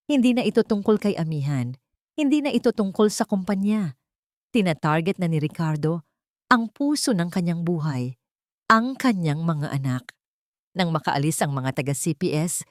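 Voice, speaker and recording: A woman's voice: on the low side, no echo, studio quality. A woman narrating a scripted drama story in an emotive, suspenseful way.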